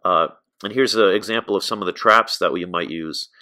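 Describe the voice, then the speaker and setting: American male instructor, middle-aged, slightly low pitch, crisp bright tone, informal explanatory delivery. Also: brisk. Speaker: beekeeping instructor. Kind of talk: informal narrated slide lesson.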